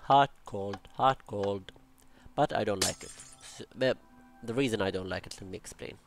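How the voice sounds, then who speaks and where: A man's voice: lowish voice, moderate pace, over music. Chatty young man with an Arabic accent. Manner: chatty, rambling, jokey asides and self-talk. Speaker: Minecraft building channel host. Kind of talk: casual gameplay commentary.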